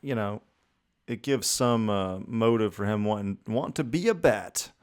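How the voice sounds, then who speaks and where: Smooth-voiced man, casual podcast co-host. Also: on the low side, animated, moderate pace, a little mellow. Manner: laid-back slangy reactions, swearing. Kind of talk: casual banter.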